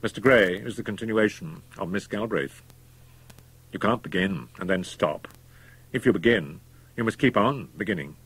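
A man's voice: fairly low pitch, moderate pace, slightly rough, pitched above the performer's own voice, rough recording. Man acting a cool, domineering young villain. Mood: smooth persuasion. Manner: calm, persuasive, emphatic. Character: cool, domineering, worldly young doctor, a murderer.

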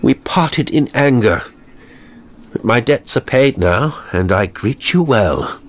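A man's voice: medium pitch, animated, takes it slowly, a little hiss or hum, voice pushed up. A man voicing a cruel, gleeful, debauched nobleman in a horror story, with mocking, flamboyant declamation.

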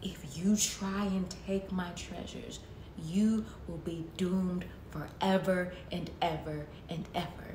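A woman voicing an ominous disembodied treasure guardian in a story, slow and threatening, in an echoey room. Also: fairly even intonation, a little mellow. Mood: menacing. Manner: slow, menacing. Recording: echoey room with faint rumbly noise.

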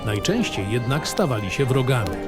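Male narrator for fantasy audio drama: world-weary baron recounting dark memories, expressive range, clearly scored with music. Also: mid-range voice, normal speed. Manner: grave, literary storytelling.

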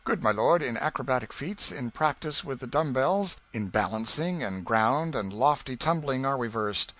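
A man's voice: medium pitch, expressive, normal speed, a bit bright, lightly acted. Man playing a florid strolling showman. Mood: grandiose. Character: boastful, courtly male leader of strolling acrobat players.

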